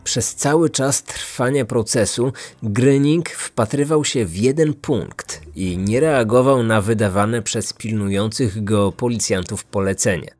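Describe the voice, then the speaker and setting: A man's voice: on the low side, measured pace, few pauses, a little mellow. A man hosting a podcast, reading scripted true-crime narration. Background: faint music.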